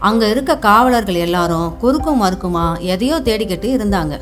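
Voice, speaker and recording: Female storyteller, mid-pitched voice, scripted narration, soft background music. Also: lively intonation, fairly quick.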